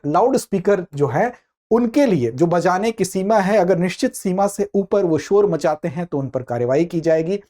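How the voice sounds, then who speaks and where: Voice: mid-range voice, warm tone. A lecturer explains current affairs for exam students in a didactic way, posing questions and answering them, and restating key points. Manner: repeats and rephrases key points.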